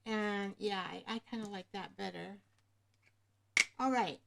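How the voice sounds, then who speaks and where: A woman hosting a casual craft livestream, rambling and pausing to search for words, speaking into a webcam microphone. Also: mid-range voice, vocal fry, heavy background noise. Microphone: webcam microphone above the screen.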